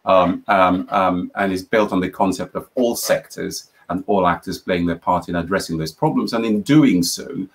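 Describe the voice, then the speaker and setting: Middle-aged guy on a video panel, really deep voice, explains stuff thoughtfully, lots of ums. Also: normal speed, hardly any pauses. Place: home office. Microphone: webcam on a video call.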